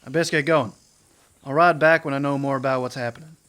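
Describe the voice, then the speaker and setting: A man's voice: medium pitch, steady tone, fairly quick, fairly bright tone, close to an everyday voice. A man playing a laconic, wry, no-nonsense older US marshal in a western radio serial. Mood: persuasive, casual. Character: dry, weary, no-nonsense older Wild West marshal.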